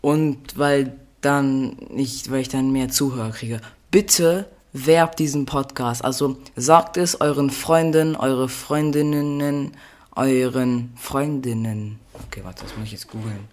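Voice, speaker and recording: A man's voice: medium pitch, takes it slowly, a little mellow. A teenage boy hosting a solo podcast, rambling playfully, correcting himself and losing his train of thought. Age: teens. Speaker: podcast host.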